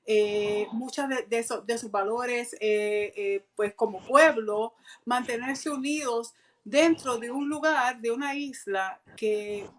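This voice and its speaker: A woman's voice: a little high, takes it slowly, a little hiss or hum. A woman hosting a live radio interview from a mosque, hesitant, with many fillers and restarts. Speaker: radio host.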